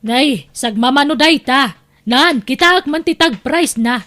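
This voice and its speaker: A woman's voice: high voice, measured pace, sharp tone. A woman playing a breezy, bossy, thrifty middle-aged aunt in an Ilocano audio drama, with an Ilocano accent.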